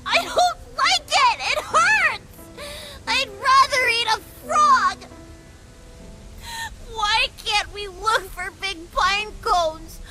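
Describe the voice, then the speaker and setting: A woman voicing a sensitive young male fawn in an animated comic dub, cheeky but whiny and sulky. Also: extremely high pitch, exaggerated voice, poor audio.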